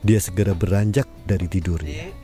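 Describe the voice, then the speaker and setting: Man, audio drama narrator, low pitch, calm measured delivery, soft background music. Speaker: storyteller.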